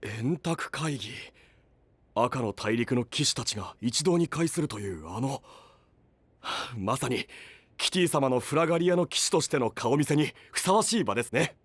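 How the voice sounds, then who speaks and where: A devoted male attendant in an anime-style audio drama. He speaks respectfully and formally at a medium pitch. The sound is very dry. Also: lively intonation, normal speed, slightly rough, no echo. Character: polite, devoted male attendant to a young knight.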